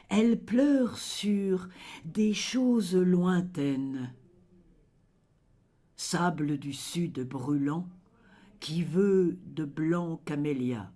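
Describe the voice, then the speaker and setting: A person reciting poetry in a solemn, measured and dramatic way, letting phrase endings fall into a hush. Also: medium pitch, animated. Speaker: poetry reader.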